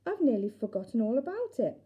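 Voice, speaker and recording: A woman's voice: fairly high pitch, big pitch swings, fairly quick, breathy edge, dull audio. A woman voicing a whimsical, enthusiastic grown woman in a children's storybook, with an exclamatory, sing-song manner. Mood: wistful. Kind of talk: children's storybook character reading.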